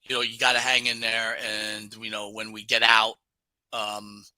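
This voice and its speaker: A man's voice: lowish voice, monotone, a little slow, bright, forceful tone, no room sound, rough recording. A man hosting a casual talk show, arguing insistently and circling back to his point. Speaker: wrestling talk show host. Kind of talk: casual argumentative discussion.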